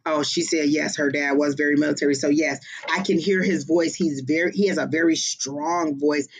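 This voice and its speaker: A psychic medium giving live-streamed readings in a casual, expressive way, often exclaiming and pausing on fillers. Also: mid-range voice, slightly rough.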